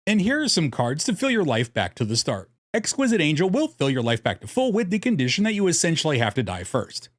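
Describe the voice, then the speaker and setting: A man's voice: on the high side, huge pitch swings, fairly quick, few pauses, dry recording, studio quality. A man narrating a scripted strategy guide in a jokey, irreverent way, casually swearing as host. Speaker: host of a card game deck guide.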